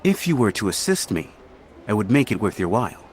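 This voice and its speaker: Well-off, courteous official in a game cutscene; lowish voice with lively inflection, formal and polite. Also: male voice, a little fast, a little hiss or hum. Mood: earnest, measured. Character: courteous, wealthy male official seeking travel companions. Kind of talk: video game cutscene acting. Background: background music.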